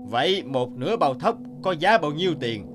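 Stern, authoritative old-time magistrate. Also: male voice, fairly high pitch, very animated. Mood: stern, measured. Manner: measured, authoritative questioning. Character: stern, shrewd male magistrate of old Vietnam. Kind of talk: animated fairy-tale dubbing. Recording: music bed behind the voice.

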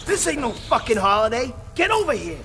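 A brash American soldier pushes his voice very high, crude and mocking in the way of a war game. Heavy battle noise and gunfire surround him. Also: sing-song, brisk, vocal fry, full character voice. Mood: aggressive, frantic. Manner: shouting, crude, mocking slang. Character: brash, foul-mouthed, taunting American soldier in combat. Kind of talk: video game combat acting.

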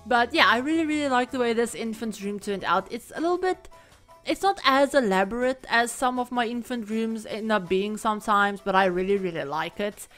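A woman recording a casual, rambling voiceover for a home-building game video, her voice a little croaky from being sick. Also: fairly high pitch, animated, normal speed, fairly bright tone, soft background music. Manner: rambling, chatty, many fillers. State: croaky from illness. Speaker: Sims build creator. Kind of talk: casual voiceover over gameplay.

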